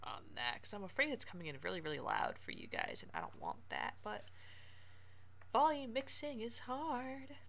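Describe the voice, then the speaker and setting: A woman doing casual let's play commentary as a game streamer, amused and quick to laugh. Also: a little high, big pitch swings, vocal fry, fairly bright tone.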